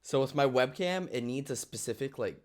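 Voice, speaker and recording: A man's voice: medium pitch, expressive, a little fast. A man chatting casually as the host of a group live stream.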